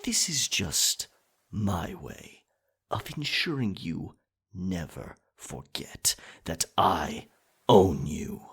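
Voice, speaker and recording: Deep, husky, menacing pirate captain. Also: male voice, big pitch swings, fairly bright tone, exaggerated voice. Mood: possessive menace. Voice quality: raspy, breathy, gravelly low voice. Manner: slow, deliberate, teasing pirate speech. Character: dominant, menacing, possessive pirate captain with sly relish. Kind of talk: roleplay ASMR, intimate solo acting.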